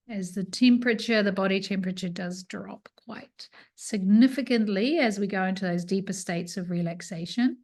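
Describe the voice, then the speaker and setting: A woman teaching yoga nidra, leading a guided relaxation with soothing, unhurried instructions and pauses. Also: medium pitch, a little mellow.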